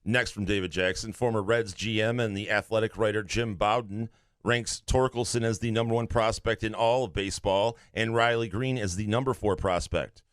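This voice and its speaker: A man's voice: on the low side, steady tone, normal speed, smooth, no room sound. A man co-hosting a sports podcast, reading listener questions and chiming in with blunt jokes. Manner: blunt, wisecracking. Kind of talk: casual sports talk.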